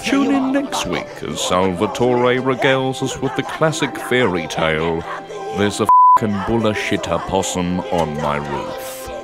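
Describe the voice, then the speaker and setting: A man's voice: lowish voice, sing-song, unhurried, loud music behind the voice. A man playing the announcer of a comedy series, reading out a show's outro. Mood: mock-grand. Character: deep-voiced announcer of a comedy web series.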